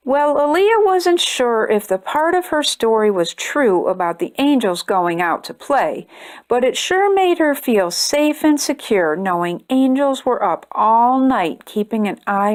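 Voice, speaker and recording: A woman hosting a storytime channel, reading a children's picture book aloud in a gentle, expressive way. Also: a little high, measured pace. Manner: gentle, expressive reading, brighter and chattier when addressing viewers. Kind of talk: read-aloud storytelling for kids.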